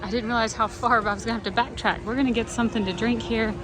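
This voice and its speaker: A woman vlogging while walking through a theme park, chatty and self-deprecating, her voice slightly hoarse. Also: fairly high pitch, fairly even intonation, very fast. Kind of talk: casual vlog narration. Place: outdoor theme park, crowds and music around. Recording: loud park crowd and music behind.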